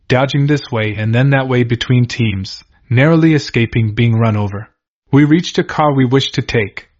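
Male reader, measured diary narration, mid pitch, somewhat dull audio. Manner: measured, steady first-person reading. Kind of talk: reading a diary aloud. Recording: slightly dull lo-fi recording.

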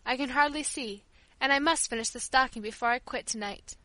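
A woman's voice: high-pitched, brisk, sharp tone, no room sound, rough recording. A woman voicing a kindly, grieving old female servant who tells her tale tenderly in an audiobook. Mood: gentle, recounting. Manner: tender, fond, rambling storyteller. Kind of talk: audiobook character dialogue.

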